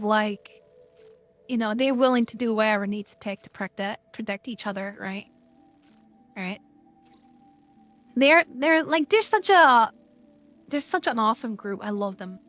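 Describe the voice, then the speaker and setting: A woman streamer chatting casually, rambling and gushing with lots of fillers, her voice getting worn from yelling. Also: fairly high pitch.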